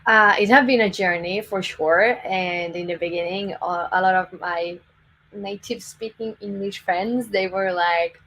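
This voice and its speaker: A woman's voice: mid-range voice, steady tone, unhurried, gravelly, a bit bright, a little hiss or hum, low-quality recording. A Swedish woman with a Swedish accent, a videographer guest in a casual interview, upbeat and full of fillers. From Sweden. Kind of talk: casual interview on a live stream.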